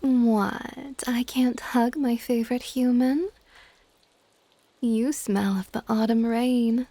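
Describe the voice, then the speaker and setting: A woman playing a possessive, affectionate dragon goddess in an ASMR audio roleplay, with a soft, whispery, teasing and intimate delivery. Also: steady tone, no room sound. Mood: affectionate, doting. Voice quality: soft, breathy whispering mixed with low-volume speech. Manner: doting, teasing, unhurried.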